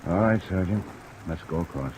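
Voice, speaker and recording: An actor with an American accent playing a steady, practical police lieutenant, plain-spoken and in charge, in a vintage radio drama. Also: male voice, low voice, normal speed. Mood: commanding. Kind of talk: mid-century American radio drama acting. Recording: old radio recording under heavy rain noise.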